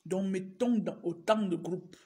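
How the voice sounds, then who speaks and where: Woman, adult teacher, has a cold, clears her throat; slow, repetitive live-stream pleas. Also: mid-range voice, dark tone. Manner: repetitive, urging viewers over and over. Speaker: teacher livestreaming to followers. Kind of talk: casual live-stream address.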